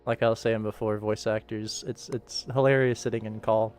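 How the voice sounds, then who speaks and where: A man's voice: fairly low pitch, little pitch movement, normal speed, a little mellow, clear, resonant tone, faint music behind. A man hosting a casual work livestream, chatty and self-deprecating as he explains details. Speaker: animation creator and livestream host.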